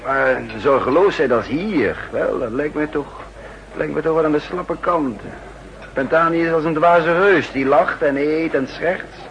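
An actor playing a cautious, guarded counter-espionage chief with a hesitant, clipped Netherlands Dutch delivery in a 1960s radio drama. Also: male voice, fairly high pitch, measured pace, low-quality recording. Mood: hesitant, musing. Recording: hissy 1960s radio recording.

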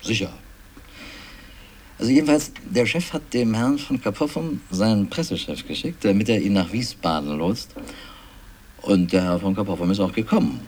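A man's voice: fairly low pitch, expressive, normal speed, a little mellow. A man playing an earnest film company employee in a radio play, recounting events in a rambling, hesitant way and repeating himself. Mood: earnest, recalling. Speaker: interviewee. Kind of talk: documentary-like interview in a radio play. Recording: clearly noisy mock field tape.

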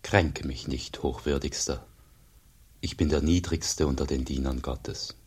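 An actor playing a humble, pious wandering monk in a German radio drama, husky and speaking in a measured, grave, formal way. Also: male voice, very deep voice, fairly even intonation, full character voice. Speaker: actor, also narrating as the monk.

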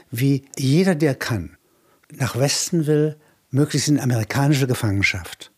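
Very husky man thinking aloud. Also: medium pitch. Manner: conversational, thinking aloud, tags with "ja". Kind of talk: reflective spoken commentary.

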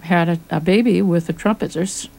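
A woman's voice: lowish voice, fairly even intonation, normal speed, gravelly, dark tone, low-quality recording. A woman from Michigan hosting a casual radio talk show, steering the conversation. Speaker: radio host.

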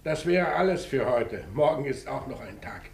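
An actor playing a brisk, probing police commissioner in a 1950s radio drama, with a terse, commanding manner and a slightly gravelly voice. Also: male voice, medium pitch, lively intonation. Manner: terse, questioning, authoritative. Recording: noisy 1950s radio recording.

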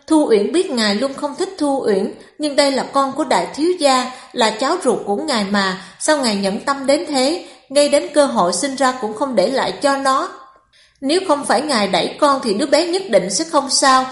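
A woman's voice: sits high, expressive, brisk, hardly any pauses, echoey room. A woman voicing a scheming young wife who puts on dramatic, accusing grief in an audiobook story. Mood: anguished accusation.

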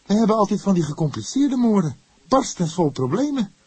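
A man acts out a young police detective from an audiobook, his voice pushed high and rough at the edges. He is hot-headed and indignant, stressing his words with agitated energy. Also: very animated, a little fast, darkish tone, dry recording, full character voice. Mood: exasperated. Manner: agitated, emphatic. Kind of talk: audiobook character voice.

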